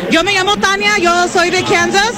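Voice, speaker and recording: A Mexican woman speaks in a very high voice as a fan interviewed in the street. She gives simple greetings to listeners, with a loud crowd all around her. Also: little pitch movement, moderate pace. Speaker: football fan being interviewed. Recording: loud outdoor crowd and traffic noise.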